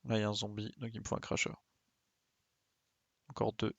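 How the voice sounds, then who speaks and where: A man's voice: fairly low pitch, completely flat delivery, a little fast, creaky, dry recording, muffled recording. A man doing casual live game commentary, thinking aloud in informal slang and exclaiming as he plays. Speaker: game streamer.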